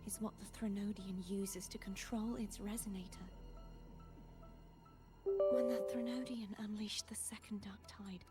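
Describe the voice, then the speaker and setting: An actress playing a gentle, sorrowful young woman in a game, speaking earnestly in soft, breathy, measured tones. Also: mid-range voice, steady tone, natural voice. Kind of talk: dramatic game voice acting. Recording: music behind the voice.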